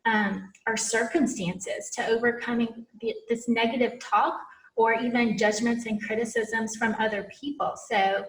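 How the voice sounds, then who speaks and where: A woman's voice: fairly high pitch, fairly even intonation, measured pace, echoey room, recorded from a distance, rough recording. A woman hosting a podcast interview, effusive and encouraging toward her guest. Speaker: podcast host.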